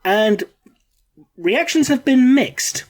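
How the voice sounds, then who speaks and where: A man's voice: very high voice, lively intonation, slow, a little mellow. A man hosting a casual podcast, a bit bunged up from a cold, joking and laughing often. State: congested from a cold. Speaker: podcast host. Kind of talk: casual chat.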